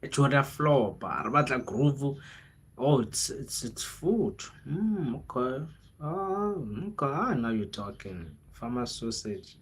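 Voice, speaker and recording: A man's voice: mid-range voice, sing-song, slow, breathy edge. A young South African man talking informally on a livestream, using plenty of slang, repeating phrases for emphasis and slipping into local languages. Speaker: livestreamer, content creator. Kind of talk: casual livestream chat, motivational rambling.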